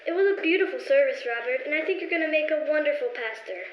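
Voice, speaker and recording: A woman's voice: sits high, animated, quick pace, slight background noise. A woman playing a supportive young pastor's wife in an amateur old-time radio play. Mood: proud. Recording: echoey, muffled.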